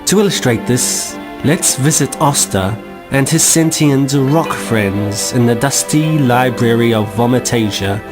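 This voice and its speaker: A man narrating an audio novel in a wry, measured voice at a middle pitch. Clear music plays beneath his narration. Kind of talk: scripted narration.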